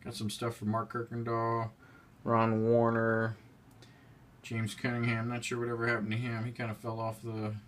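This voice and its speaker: A man's voice: medium pitch, monotone, normal speed, fairly bright tone. A man casually narrating a tour of his collectibles room at home, rambling with frequent fillers, recorded on a handheld phone. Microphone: handheld phone camera.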